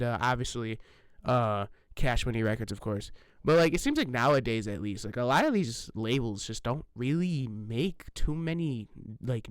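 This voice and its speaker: A man's voice: mid-range voice, smooth, no room sound, studio quality. A man hosting a reaction channel, talking in a loose, rambling way with lots of fillers and restarts, swearing casually and laughing at himself, sitting at his desk with an old microphone. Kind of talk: casual rambling vlog chat. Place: home recording setup, sitting at desk. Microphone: old desk microphone, sitting close.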